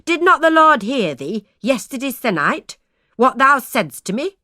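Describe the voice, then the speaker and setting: A woman's voice: high-pitched, big pitch swings, measured pace, bright, forceful tone, no room sound, studio quality, pitched above the performer's own voice, heavily acted. A woman voicing a fierce, accusing spinster aunt who speaks in old-fashioned words. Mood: scolding. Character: shrill scolding spinster aunt, older woman.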